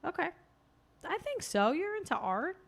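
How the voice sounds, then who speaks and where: A woman's voice: very high-pitched, sing-song, moderate pace, creaky. A woman co-hosting a podcast, chatting casually and reading out quiz questions with a playful, laughing manner. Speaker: podcast co-host.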